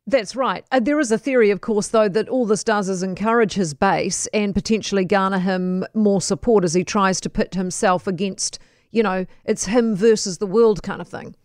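A New Zealand woman presents radio news in a dry studio sound. Her voice sits at an average pitch as she introduces items and interviews a guest live. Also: moderate pace, darkish tone, dry recording.

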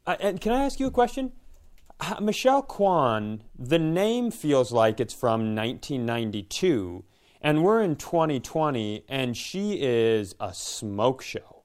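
Guy podcast cohost, clean smooth voice, mid pitch, joking around casually and telling stories. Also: very animated, unhurried, a little mellow. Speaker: podcast co-host. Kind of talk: casual comedic banter.

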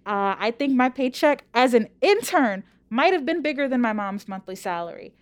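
A college student in her twenties from Louisiana, telling her own story in a casual interview with lively, emphatic rhetorical questions. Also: on the high side, normal speed. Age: 20s.

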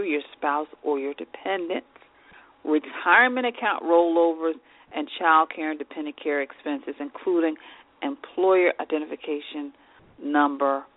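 A woman hosting an advice podcast, sounding congested and nasal, reading off lists of tips with casual fillers. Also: sits low. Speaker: podcast host. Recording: tinny phone-like line.

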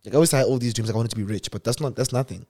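A man's voice: mid-range voice, very fast, darkish tone. Easygoing young man on a podcast. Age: 20s. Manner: easygoing, hesitant, repeats words. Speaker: podcast co-host. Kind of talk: casual chat.

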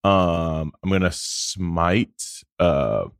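A man's voice: deep voice, animated, extremely slow, vocal fry, clean tone, no room sound, studio quality. A male podcast co-host who teases and acts mock-outraged. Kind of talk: casual podcast chat.

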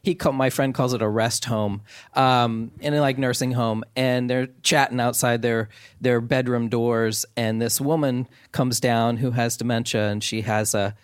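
A man's voice: medium pitch, steady tone, a little slow, clear, resonant tone, no room sound, studio quality. A man from Maine with a Maine accent, a comedian chatting on stage in front of a live audience and telling a joke in a rambling way, full of restarts. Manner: rambling, many restarts, laughing storyteller. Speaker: comedian guest. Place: theater stage before an audience.

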